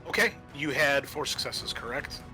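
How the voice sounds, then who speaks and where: A man's voice: medium pitch, animated, normal speed, sharp tone, soft background music. A man acting as game master, narrating a tabletop game in a casual, loose way.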